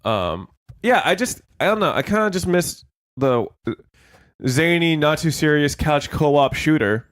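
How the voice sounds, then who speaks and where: A man's voice: fairly high pitch, sing-song, a little slow, no echo, clean studio sound. Congested young man chatting about games. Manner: rambling, lots of fillers and swearing. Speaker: podcast co-host. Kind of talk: casual gaming podcast chat.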